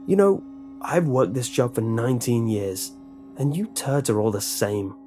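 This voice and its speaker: A man's voice: medium pitch, lively intonation, moderate pace, mellow, soft background music, close to an everyday voice. A man voicing a bitter, menacing middle-aged lunch lady with a heavy accent, who barks short orders in broken speech. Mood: resentful. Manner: resentful, threatening, barking short orders.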